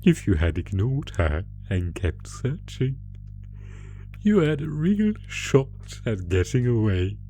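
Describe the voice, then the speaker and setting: A man playing a sadistic monster who taunts captive prey with theatrical, drawn-out menace in an ASMR horror audio roleplay. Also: medium pitch, big pitch swings, warm tone, slight background noise. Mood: smug taunting.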